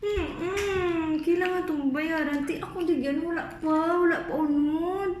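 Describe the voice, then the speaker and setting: A Filipino woman chatting casually in an excitable, giggly way during prank calls. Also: very high-pitched, unhurried. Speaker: prank vlogger. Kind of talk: casual prank-call chat. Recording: echoey room with faint background noise.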